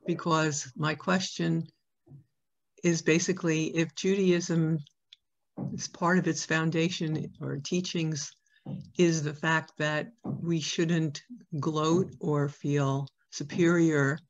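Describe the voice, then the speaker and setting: An interviewer asking probing questions, thinking aloud with fillers. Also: medium pitch. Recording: dull lo-fi audio.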